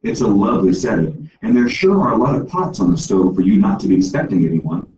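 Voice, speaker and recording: A man voicing a firm, polite young mother with an earnest, brisk manner in a storybook. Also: on the low side, very animated, a crowd in the background. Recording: distant echoey room, dull, faint noise.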